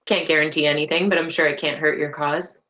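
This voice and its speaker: A woman's voice: deep voice, steady tone, fast talker, pushed and bright, muffled recording. A woman playing a tabletop roleplaying game, talking casually in character with a questioning, conversational manner.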